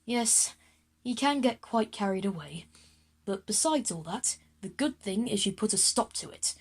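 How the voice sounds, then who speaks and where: A woman voicing a posh, polite, genteel gentleman who is grand and apologetic, in a children's audio drama. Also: mid-range voice, expressive, measured pace, slightly rough. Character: posh, polite gentleman, self-styled master of the universe.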